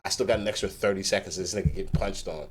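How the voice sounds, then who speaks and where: Low-voiced man reacting to rap battles. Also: slight background noise. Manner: animated, swearing, pauses clip to comment. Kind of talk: casual reaction commentary.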